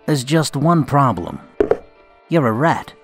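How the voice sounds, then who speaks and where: An actor as a mafia family boss, a man with a medium-pitched voice and a coolly controlled menace. He talks down to people in radio drama style, over faint music. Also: sing-song, slow, husky, heavily acted. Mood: menacing.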